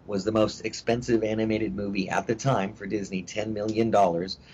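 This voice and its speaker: A middle-aged actor talking in a casual interview, rambling with many fillers and restarts. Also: male voice, on the low side, steady tone, fairly quick, a little mellow. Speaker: actor guest. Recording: dull lo-fi audio with faint hiss.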